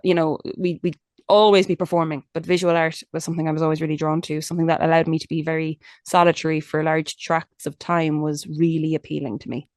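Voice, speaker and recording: Young Irish woman, lowish voice, candid and chatty interview guest, dry recording. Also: level tone, fast talker, a little mellow, dry recording. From Ireland. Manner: chatty and candid, many restarts and "like" fillers. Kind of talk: casual conversational interview.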